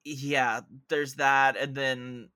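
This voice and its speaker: A man's voice: medium pitch, very slow, clean tone, dry recording, studio quality. A man hosting a podcast, talking about films in a casual, opinionated and emphatic way. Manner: animated, opinionated, profanity-prone, emphatic. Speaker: podcast host. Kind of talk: casual film discussion.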